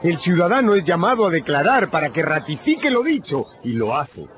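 A man's voice: fairly high pitch, big pitch swings, a little fast, fairly bright tone. A man narrating a radio drama from a script in a dramatic style. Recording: dull, noisy radio recording.

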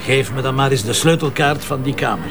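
A man's voice: medium pitch, brisk, lightly acted. A man with a Flemish accent playing a stubborn, wry police commissioner in a detective audio drama, speaking informally and musingly. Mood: businesslike, insistent. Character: dogged male police commissioner, stubborn, hunch-driven, wry.

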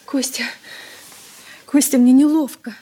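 An actress playing a timid, lovelorn young woman, halting and tearfully pleading, in a Soviet radio play. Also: high-pitched, fairly even intonation, warm tone. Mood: hurt, pleading.

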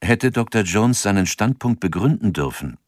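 A man's voice: fairly low pitch, expressive, normal speed. An actor as the sober male narrator of a true-crime radio drama, factual and restrained.

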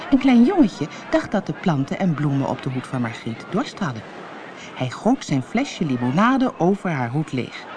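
A woman reading a scripted children's story as an expressive storybook narrator. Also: lowish voice, noisy background. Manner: expressive, acts out dialogue.

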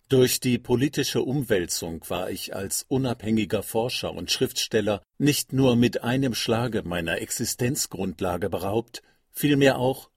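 An actor playing a reflective, formal exiled writer in his early forties in a literary radio play, with measured, articulate delivery. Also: on the low side, darkish tone, husky, no echo, clean studio sound. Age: middle-aged.

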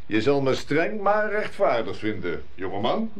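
An actor playing an old-fashioned gentleman suitor in a 1970s radio drama, courtly and condescending. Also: medium pitch, huge pitch swings, unhurried. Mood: stern, patronizing. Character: suave, patronizing, old-fashioned gentleman suitor, stern stepfather-to-be.

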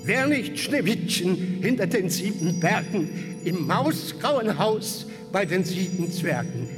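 A solemn magic mirror in a fairy-tale radio drama. The high voice rises and falls in singsong rhyme, drenched in long reverb over clear music. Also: male voice, big pitch swings, takes it slowly, full character voice. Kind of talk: radio play acting.

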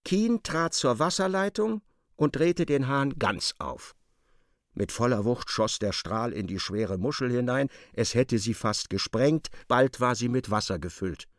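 A man's voice: mid-range voice, measured pace, dry recording, studio quality. A man narrating a literary radio play in a dramatic, scripted style.

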